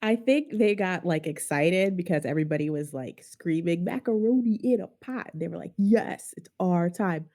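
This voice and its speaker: A woman's voice: fairly low pitch, moderate pace, hardly any pauses, dark tone. A woman hosting a podcast in casual chat, sounding nasal and stuffed up from a sinus infection, wry and rambling and laughing often. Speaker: podcast host.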